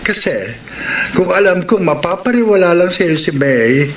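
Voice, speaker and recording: A man's voice: sits high, animated, moderate pace, recorded from a distance. An actor playing a teasing but loyal male cousin and confidant in Filipino radio drama style. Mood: knowing, loyal. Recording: roomy, off-mic, faint noise.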